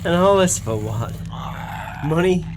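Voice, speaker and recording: A betrayed former sailor in an audio drama. His voice is fairly high, anguished and bitter, over clearly audible background noise. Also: lively intonation, unhurried. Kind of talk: audio play acting.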